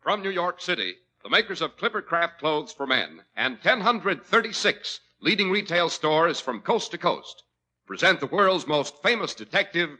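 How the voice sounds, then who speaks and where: An American man announcing in 1940s radio style, with polished, emphatic delivery as host and sponsor pitchman. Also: big pitch swings, moderate pace, pushed and bright.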